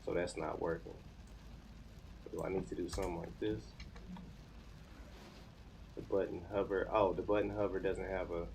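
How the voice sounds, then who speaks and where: A man in his thirties with an American accent talks in a very deep, creaky voice. He thinks aloud informally, as if chatting to a live stream while busy with a task. Noticeable background noise and room ambience sit behind him. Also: little pitch movement, moderate pace, pauses often, a little mellow. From United States. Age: 30s. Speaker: live streamer learning web development. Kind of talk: casual live coding stream chat.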